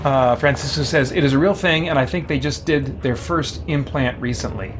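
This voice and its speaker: A man's voice: medium pitch, moderate pace. Man chatting over noticeable background noise. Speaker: video game livestream host. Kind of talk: casual gameplay chat, answering viewer questions. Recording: clearly noisy background.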